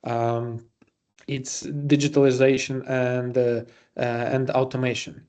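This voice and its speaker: Mellow man with a Latvian accent, hosting. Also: medium pitch, little pitch movement, measured pace. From Riga, Latvia. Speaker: webinar host and presenter. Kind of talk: semi-scripted webinar presentation.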